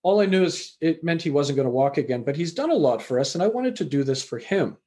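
Man, middle-aged, from Canada, higher-pitched voice, reflective, storytelling, fillers. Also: expressive, quick pace, dark tone. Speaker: author and university lecturer. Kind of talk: conversational interview answers.

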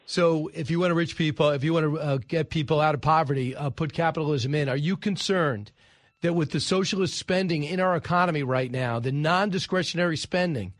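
Male host, high-pitched, dry studio sound, casual and probing. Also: brisk, no echo. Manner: conversational, asks pointed questions. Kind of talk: radio talk interview.

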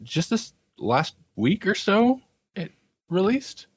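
A man's voice: high voice, big pitch swings, slow pace, husky, no echo. A man co-hosting a podcast, chatting casually and in a rambling way about television. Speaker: podcast co-host. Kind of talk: casual review chat about TV.

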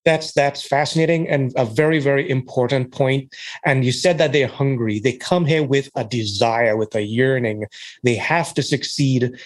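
A man's voice: mid-range voice, normal speed. A man hosting an interview podcast, enthusiastic and affirming, pushing up on key words. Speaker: podcast host. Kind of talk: conversational interview.

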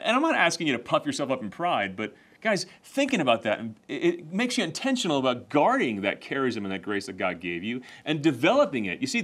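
A man hosting a faith talk show, speaking with an emphatic, preaching tone and a lively, animated delivery. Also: a little high. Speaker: show host.

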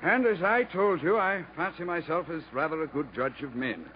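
An actor playing a shrewd, eccentric riverboat captain in a 1950s radio drama, measured and knowing. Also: male voice, fairly high pitch, natural voice. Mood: sly, assured. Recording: dull, faintly noisy old radio recording.

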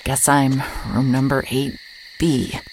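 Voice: mid-range voice, flat delivery, slow, slight background noise. An actor playing a weary, sardonic private investigator in an audio drama, narrating in a dry noir manner. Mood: flustered, annoyed.

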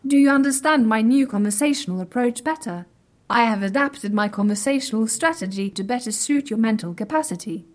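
A high, female-voiced superintelligent computer from an audio comedy, acted by a woman. It speaks in stilted, exact, formal phrases with a deadpan delivery. It is pedantic and literal-minded, and quietly smug about its own intellect. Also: brisk, lightly acted. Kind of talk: scripted audio comedy drama.

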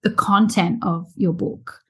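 A woman's voice: fairly low pitch, steady tone, takes it slowly, a bit bright, breathy edge. A woman, hosting a casual interview podcast in an enthusiastic, chatty way. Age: 40s. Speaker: podcast host.

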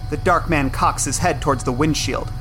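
A man's voice: fairly high pitch, moderate pace. Man narrating a screenplay over music. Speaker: narrator of an audio drama. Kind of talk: scripted screenplay-style narration. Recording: music under the voice.

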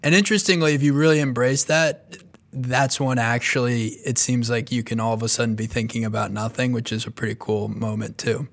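A man hosts an interview in a relaxed, conversational manner. His voice sits at a middle pitch. He often restarts his questions partway through. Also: brisk, vocal fry. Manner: easygoing, with small restarts. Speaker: podcast host.

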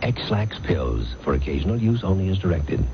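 A man's voice: low voice, very animated, moderate pace. A man announcing a scripted radio commercial in slogan style. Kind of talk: scripted advertising slogan read. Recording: muffled radio broadcast, heavy noise.

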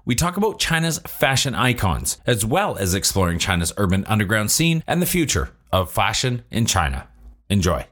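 A man hosting a business podcast, reading a measured scripted intro and then asking loose questions with fillers. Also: fairly low pitch, a bit bright. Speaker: podcast host.

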